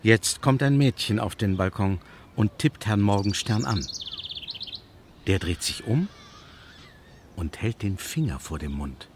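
A man narrating a scripted children's story in short, deliberate phrases. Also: on the low side, animated, slight background noise. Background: prominent guitar music.

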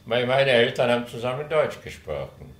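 An older man with a Czech accent, a native Czech speaker, speaking German haltingly in an interview. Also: medium pitch, very animated, noisy background. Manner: halting, searching for words, frequent hesitations. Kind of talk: conversational interview. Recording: roomy room sound.

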